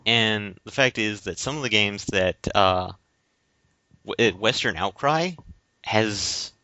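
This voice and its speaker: Low, bright-voiced man, halting. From United States. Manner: hesitant, halting, roundabout explaining. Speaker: podcast co-host. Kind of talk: casual explanatory discussion. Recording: dull lo-fi audio.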